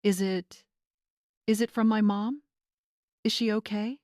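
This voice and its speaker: A woman's voice: medium pitch, steady tone, slow, darkish tone, no echo, clean studio sound, voice pushed up, lightly acted. A woman voicing an anxious, cowed groom in an audiobook, hesitant and strained.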